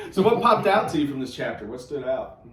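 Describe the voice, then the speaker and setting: Roomy, distant-miked man hosting church chat. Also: a little high. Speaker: host of a church read-along show. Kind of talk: casual conversational Bible discussion. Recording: echoey room, distant mic, faint noise.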